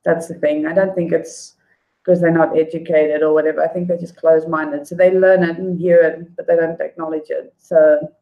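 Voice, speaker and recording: A woman's voice: low voice, little pitch movement, moderate pace, muffled recording. A South African woman hosting a casual livestream and answering her viewers' comments. She talks in a rambling, conversational way, with fillers and restarts, and calls viewers by name. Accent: South African English. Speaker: livestream host reading and answering viewer chat. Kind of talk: casual unscripted livestream chat.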